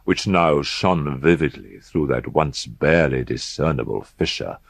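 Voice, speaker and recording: A man narrating a gothic horror audiobook in a grave, measured, dramatic manner with deliberate pauses for emphasis. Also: extremely low pitch, animated, creaky.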